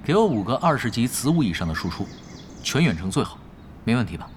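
Fast, animated male gamer tactician acted out by a man. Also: medium pitch, background noise, natural voice. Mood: cool, commanding. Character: unhurried, shrewd male gamer, tactician for hire. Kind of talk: audio drama dialogue.

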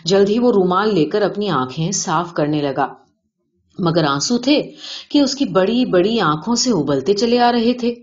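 A woman's voice: medium pitch, expressive. Woman narrating a story, muffled lo-fi recording. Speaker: audiobook reader of a short story. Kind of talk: scripted literary story narration. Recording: dull, lo-fi sound.

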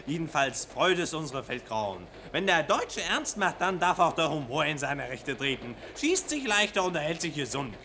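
A brash patriotic salesman, highish and very lively in pitch. His patter is pompous and hearty, over the clear noise of a 1940s radio recording. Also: normal speed, hardly any pauses, exaggerated voice. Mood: boastful. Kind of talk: 1940s radio play acting.

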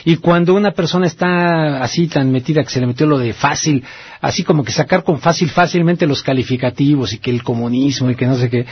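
A man's voice: on the high side, fairly even intonation, fairly quick, slightly rough, dry recording, highs cut off. A man with a Mexican accent hosting a radio call-in show, talking in a casual, colloquial, rambling and reflective way. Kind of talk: casual conversational radio commentary.

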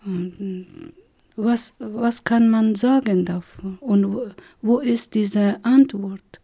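A middle-aged woman from Afghanistan with an Afghan accent, haltingly telling her personal story with many pauses. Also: mid-range voice, mellow. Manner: halting, many hesitations, slow and searching for words. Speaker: interviewee. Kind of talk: personal testimony.

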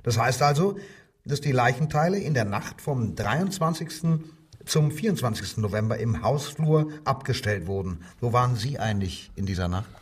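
A male actor playing a coldly insistent wartime German police detective in a radio crime drama, with a husky voice and a sardonic, interrogating manner. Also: medium pitch, lively intonation, moderate pace, recorded from a distance. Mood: methodical, pressing.

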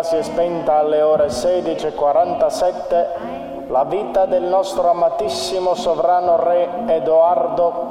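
A man acting as a grave state broadcaster delivers a formal proclamation in a slightly high, mellow voice. He is measured and official. The sound is distant, with long echoes and music behind him. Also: muffled recording, close to an everyday voice. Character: solemn state broadcaster announcing the king's death. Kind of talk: formal radio proclamation in a theatre piece.

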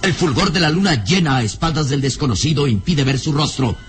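An actor as the storyteller of an adventure radio serial, narrating in a theatrical, suspenseful, carefully enunciated way. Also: male voice, a little high, lively intonation, fairly quick, background noise, lightly acted. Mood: tense.

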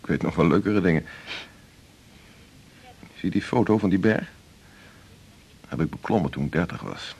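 An actor playing a middle-aged senior doctor in a radio drama, a husky-voiced boss who talks in a brisk, familiar, patronising way. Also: male voice, sits low, darkish tone. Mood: chummy. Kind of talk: naturalistic Dutch radio drama dialogue.

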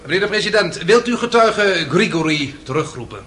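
A man's voice: sits high, animated, a little fast, sharp tone. A man playing a sly, undaunted defence lawyer who questions with theatrical irony in a radio drama. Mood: probing. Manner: leading, ironic, theatrical questioning.

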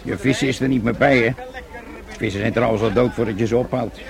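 An actor playing a crude, jocular fishing-tackle seller at a market in a radio play, slangy. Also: male voice, mid-range voice, fairly even intonation, fast talker, gravelly, close to an everyday voice. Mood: chatty, scornful.

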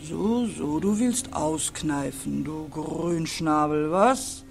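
A woman's voice: low-pitched, takes it slowly, gravelly, soft background music, heavily acted. An actress playing a river as an earthy, scolding, motherly old woman in a 1940s radio drama. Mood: scornful. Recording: vintage 1940s radio recording.

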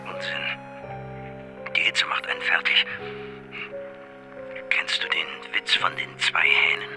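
A man's voice: low voice, little pitch movement, slow pace, over music. An actor playing an uneasy, evasive and prying male friend in a radio drama, often half-whispering breathily. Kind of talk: German radio drama acting.

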